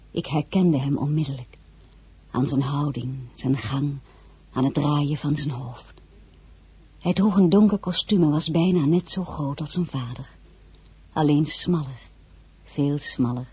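A woman's voice: sits low, warm tone, husky, exaggerated voice. A woman playing a discreet middle-aged noblewoman who narrates her own story and often drops into a whisper. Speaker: narrator and lead character. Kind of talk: radio play acting with diary narration.

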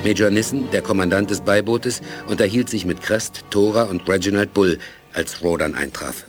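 Man as sober storyteller for sci-fi radio play, deep, measured, music underneath. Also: natural voice. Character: sober male narrator of a space adventure. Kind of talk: scripted audio drama narration.

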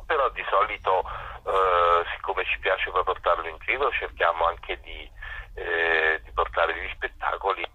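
Low-pitched, husky man over a dull line. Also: bright, forceful tone, no room sound. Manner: hesitant, searching for words. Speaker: musician guest. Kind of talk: radio interview, explanatory conversation. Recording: dull, band-limited phone-interview sound.

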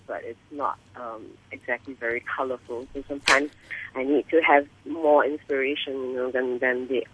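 A woman, a singer-songwriter guest talking on a radio interview by phone, halting and laughing often. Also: a bass voice, a bit bright, husky. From Singapore. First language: English. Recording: muffled phone line.

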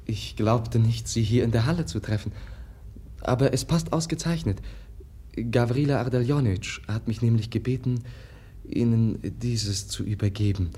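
A man playing a gentle, guileless young prince in a 1950s radio drama, polite, earnest and hesitant. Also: mid-range voice, moderate pace, breathy edge, lightly acted. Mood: polite, reluctant. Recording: 1950s radio play recording.

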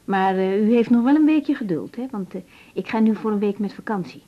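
An actress playing a brisk, caring young doctor in a radio drama, direct and reassuring as she asks her questions. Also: mid-range voice, animated, lightly acted. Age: young adult. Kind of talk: naturalistic radio drama acting. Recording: dull, band-limited radio recording.

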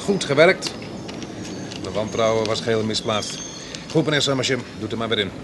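An actor in a Dutch radio play, playing a smooth, mockingly polite male kidnapper and gang boss. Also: fairly low pitch, normal speed, natural voice. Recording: noisy old radio play, distant sound.

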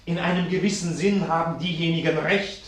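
An actor playing a solemn parliamentarian speaking formally and emphatically in a radio play, in a very echoey hall. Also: male voice, a little high, fairly even intonation, a little fast, distant mic, lightly acted. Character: solemn male parliamentary orator.

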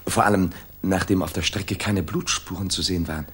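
An actor playing a detective's loyal, eager and puzzled companion in a 1960s German radio drama, questioning and slightly stammering. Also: male voice, low-pitched, steady tone, fairly quick, fairly bright tone, breathy edge, exaggerated voice. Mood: eager, baffled.

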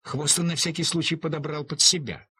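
A man reading a humorous story as an expressive, theatrical narrator who draws out words for emphasis in a husky voice. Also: fairly high pitch, a little fast, no echo, studio quality.

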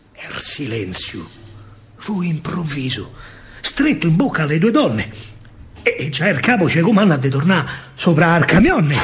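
Animated Roman man, dramatic storyteller. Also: high voice. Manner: dramatic, swinging from hushed to loud. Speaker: stage storyteller, actor. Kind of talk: theatrical verse monologue in dialect. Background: faint live music.

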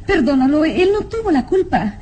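A nobleman's young daughter in an old radio drama. Her voice is high and earnest, with the anxious, pleading manner of a dutiful girl. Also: big pitch swings, moderate pace, mellow.

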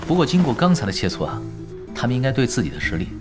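An actor playing a laid-back, shrewd veteran pro gamer who gives wry, casual advice in a radio drama. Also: male voice, mid-range voice, big pitch swings, racing, natural voice. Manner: casual, wry, advising juniors. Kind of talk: Chinese radio drama dialogue. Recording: music behind the voice.

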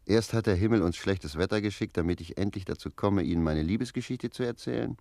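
An actor playing a talkative, cheeky, stubborn manservant in a German radio play. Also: male voice, low voice, quick pace, gravelly, a little mellow, dry recording. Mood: exasperated. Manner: chatty, argumentative.

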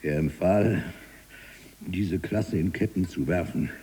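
An actor playing an imprisoned freethinking writer, mocking, obsessive and eloquent, with a husky, gravelly voice, in a theatrical radio drama monologue. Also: male voice, low-pitched, takes it slowly, warm tone, exaggerated voice. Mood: bitter lecturing. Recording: very reverberant hall-like space.

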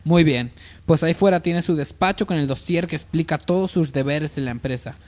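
A man playing a self-assured, dismissive wealthy heir and boss in an amateur radio soap opera, brisk and commanding. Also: fairly high pitch, a little mellow, lightly acted. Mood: businesslike.

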